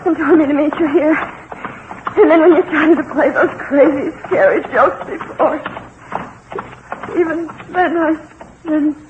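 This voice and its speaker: An actress playing an anxious, devoted wife in 1940s radio horror, with a pleading, earnest, dramatic delivery. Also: female voice, high voice. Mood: wistful, nervous. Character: anxious, devoted wife fleeing a tunnel monster. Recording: muffled, very noisy 1940s radio recording.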